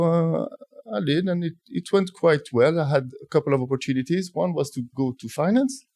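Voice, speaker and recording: A man in his forties with a French accent speaks in a relaxed, mellow voice. He tells his story thoughtfully, pausing and restarting his sentences. The sound is dry and close, with no room echo. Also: on the high side. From France. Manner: thoughtful, halting, many restarts. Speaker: guest, telecom executive. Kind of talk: conversational interview storytelling.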